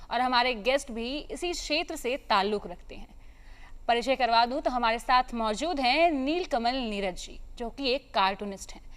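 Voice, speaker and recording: High-pitched woman presenting television. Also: clean tone. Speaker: television show host. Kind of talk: morning show presenting.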